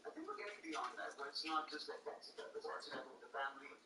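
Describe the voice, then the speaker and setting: An animated man with an Indian accent, talking quickly with bright, lively inflection. He tells playful stories in casual podcast chat, recorded a little off the mic in a roomy space. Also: on the high side, distant mic, background noise. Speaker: actor and comedian guest.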